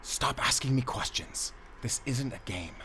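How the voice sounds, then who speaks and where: An actor playing a stern, secretive werewolf hunter with a German accent, giving terse, grave orders in a cinematic audio drama. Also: male voice, mid-range voice, lively intonation, unhurried, bright, forceful tone, slight background noise. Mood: tense, angry.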